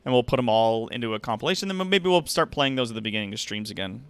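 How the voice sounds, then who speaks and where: A man's voice: mid-range voice, animated, fast talker, a bit bright, clean tone, no echo. A man hosting a livestream, chatting casually and directly to viewers with slangy, profane language. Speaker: livestream host and content creator. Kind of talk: casual stream chat.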